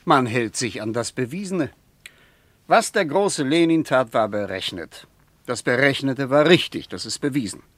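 An actor as a pragmatic male debater in a radio play, mid-pitched and very varied in intonation. He is conversational and sardonic, with a matter-of-fact edge, recorded dry. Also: expressive, moderate pace, dry recording, close to an everyday voice. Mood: dryly sardonic. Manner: conversational, with questioning tags.

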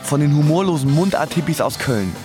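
A man playing a sardonic musician, narrating his own story in a scripted, deadpan radio drama style. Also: fairly high pitch, brisk, over music, close to an everyday voice. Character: sardonic male musician recalling his life. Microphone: close studio microphone.

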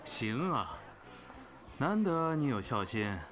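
A man's voice: mid-range voice, sing-song, takes it slowly. A man playing a devoted, direct young elder brother in an audio drama, frank and composed. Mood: wry. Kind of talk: Chinese audio drama dialogue. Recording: soft guitar music behind the voice.

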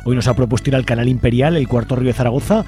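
A man's voice: medium pitch, very fast, warm tone, faint music behind. A man with a Spain Spanish accent hosting a casual radio show in an informal, wry way, often checking in with tag questions. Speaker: radio host.

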